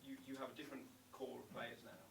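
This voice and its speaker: A man, a sports journalist, hoarse from losing his voice, asking quick, flat questions at a press conference. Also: medium pitch, distant mic. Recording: loud noise, echoey room from afar.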